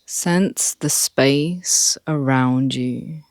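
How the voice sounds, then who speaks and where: Meditation guide, extremely slow, calm and soft, mid pitch, dry close voice-over, faint birdsong and outdoor sounds behind. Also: no echo. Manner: calm, soft, with long pauses.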